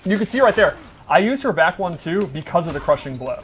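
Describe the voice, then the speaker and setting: Higher-pitched man commentating on gameplay. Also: expressive, fairly quick, a little hiss or hum. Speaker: game developer, player and commentator. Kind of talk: casual live gameplay commentary.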